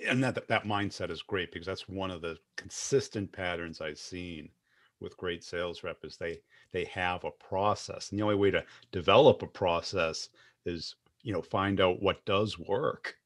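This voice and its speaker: Man, lowish voice, podcast host, casual interview chat. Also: normal speed.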